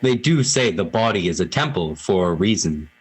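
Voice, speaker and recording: A kindly old priest, played by a man in a radio play, hearty and fatherly. His voice is lowish and quite husky. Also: animated, moderate pace, rough recording. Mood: kindly, jovial. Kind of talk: amateur radio play acting.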